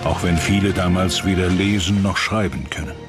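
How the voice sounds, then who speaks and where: A man with a deep voice narrates a historical documentary. His delivery is scripted, and music runs clearly beneath his words. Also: steady tone, moderate pace.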